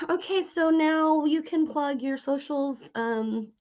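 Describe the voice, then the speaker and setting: A woman's voice: high voice, takes it slowly, darkish tone, muffled recording. A woman speaking informally as an interviewer in a casual, opinionated chat. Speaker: podcast interviewer. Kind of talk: casual chat about horror tropes.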